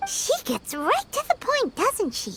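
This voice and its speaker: A woman voicing a tiny, chirpy, boastful female fairy companion with a squeaky childlike voice in a video game. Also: wildly swooping pitch, measured pace, fairly bright tone, slight background noise, exaggerated voice. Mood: curious, playful. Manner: refers to self in third person, exclaiming.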